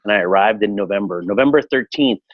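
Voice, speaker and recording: A man in his forties from Chile, a restaurateur answering interview questions in a relaxed, reflective way with frequent fillers. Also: fairly low pitch, quick pace, no room sound, highs cut off. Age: 40s. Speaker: restaurateur guest.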